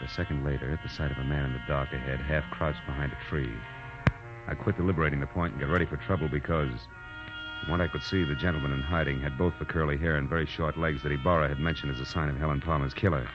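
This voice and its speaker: Tough private eye, low-pitched, hardboiled clipped narration, 1940s radio drama, dull old recording with music behind. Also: male voice, steady tone, quick pace. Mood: grim, tense. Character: tough, wry male private detective narrating his case. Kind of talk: 1940s radio detective acting, hardboiled first-person narration.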